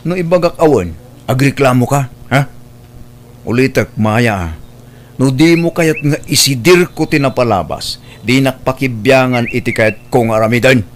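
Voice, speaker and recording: An actor playing a defiant, domineering cheating husband in an Ilocano radio drama. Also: male voice, mid-range voice, normal speed, slight background noise, lightly acted. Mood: defiant, stern.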